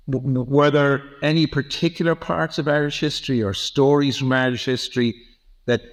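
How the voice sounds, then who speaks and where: Man asking thoughtful interview questions. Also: medium pitch. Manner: thoughtful, searching questions with hesitations.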